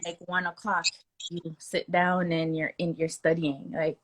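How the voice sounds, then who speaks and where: A woman esthetician and mother of two, a guest in a conversational interview over a video call, telling her story in a relaxed way. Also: fairly low pitch, unhurried, low-quality recording.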